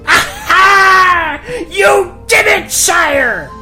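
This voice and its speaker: A man voicing a sardonic, excitable squire with a theatrical, exasperated manner in a cartoon parody. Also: very high voice, drawn-out words, raised voice, music in the background, heavily acted. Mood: excited. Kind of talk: cartoon gag-dub parody.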